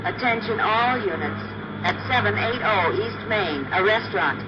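A woman playing a formal police dispatcher making measured announcements in an old radio drama. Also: medium pitch, expressive, natural voice. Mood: official. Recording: muffled, very noisy old radio recording.

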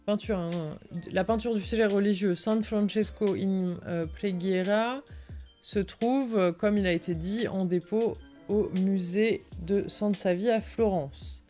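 Mellow-voiced woman live-translating on stream. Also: medium pitch, unhurried. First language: French. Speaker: livestream co-host. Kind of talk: live translation and commentary of an encyclopedia article. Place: indoor room, livestream. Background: music.

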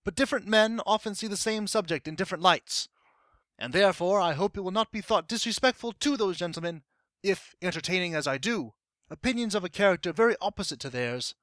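A man's voice: sits high, animated, a little fast, a bit bright, dry recording. A man playing an impassioned colonial-era statesman, delivering a formal, emphatic dramatic monologue as a speech to an assembly. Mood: solemn, earnest.

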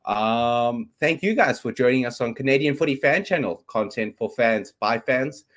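A man's voice: mid-range voice, expressive, moderate pace. A South African man in his thirties, Afrikaans his first language, hosting a casual sports talk show from his bedroom over a video call, rambling with plenty of ums. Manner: chatty, rambling, lots of ums. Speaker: podcast host. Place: bedroom at home. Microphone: webcam on video call.

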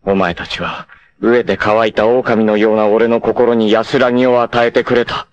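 Man acting as a loyal martial artist hero, 1980s anime, stern, smooth, intense, fierce battle cries. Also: fairly low pitch, fairly even intonation, normal speed, highs cut off, lightly acted. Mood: grave. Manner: bursts into ferocious battle cries. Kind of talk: 1980s anime dub-free Japanese voice acting.

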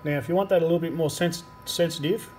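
A man's voice: fairly high pitch, fast. A man giving a hands-on repair demonstration, explaining each step as he works. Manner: explanatory, talking while working. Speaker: radio repair technician presenter. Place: indoor electronics repair workbench. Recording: noisy workshop recording with clicks. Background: workshop noise, clicks, test tones.

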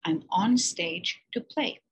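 A calm, determined grown violinist speaks plainly and earnestly, as a woman reading a storybook lends him her own voice. The tone stays soft and breathy, hardly shifted from the narration. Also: lowish voice, takes it slowly, exaggerated voice.

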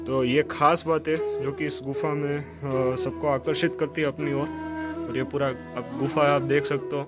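A man's voice: medium pitch, steady tone, measured pace. A man, explaining things to viewers in a casual vlog style and often repeating himself. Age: 20s. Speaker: vlogger and on-site guide. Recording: loud music under speech. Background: loud instrumental music.

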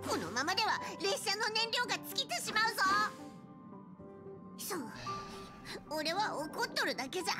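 Tiny grumpy mascot conductor, super high squeaky, proud n blustery, anime game, music under. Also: female voice, sing-song, moderate pace, long pauses. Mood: indignant grumbling. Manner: blustering, old-fashioned gruff phrasing. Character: small fussy conductor creature, proud, grumbling, tearful.

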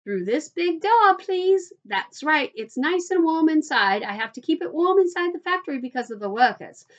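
A woman voicing an effusive, eccentric little male factory owner from a children's storybook, in a high, fluty voice and gushing bursts. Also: very animated, dull audio. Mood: eager guiding. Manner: gushing, hurried bursts of exclamation.

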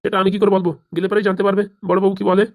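A woman's voice: medium pitch, flat delivery, rapid-fire, darkish tone, close to an everyday voice. A woman voicing a bossy, impatient male village police officer in a comic cartoon, curt and threatening.

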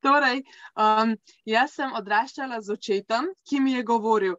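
A woman's voice: a little high, moderate pace, a bit bright, dry recording. A woman, interpreting live on a video call. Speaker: consecutive interpreter. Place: small indoor room, video call. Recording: dull lo-fi video-call sound.

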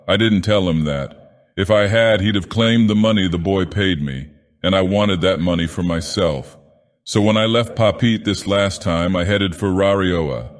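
Guy doing a gruff boozy sea captain from a story, spooked and grumbly, emphatic, big echoey hall sound. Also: deep voice, natural voice. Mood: uneasy confiding. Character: gruff, drunken, fearful schooner captain, resentful and superstitious.

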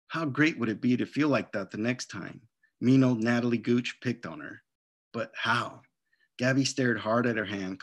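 Male children's author, middle-aged, mid-pitched, expressive storybook delivery and casual talk on video call. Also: slightly rough. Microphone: video call microphone.

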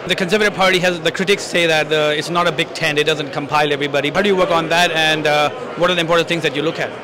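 A man interviewing a guest at a convention, asking halting questions with fillers into a handheld mic. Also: on the high side, steady tone, crowd noise. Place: large convention hall lobby, indoors.

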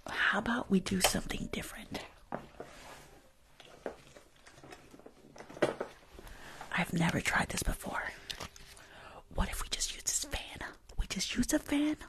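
A woman's voice: medium pitch, lively intonation, moderate pace, a bit bright, pitched below the performer's own voice. A woman playing a rude, unqualified school nurse in an ASMR roleplay, bossy and mock-reassuring, repeating herself. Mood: matter-of-fact. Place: small indoor room.

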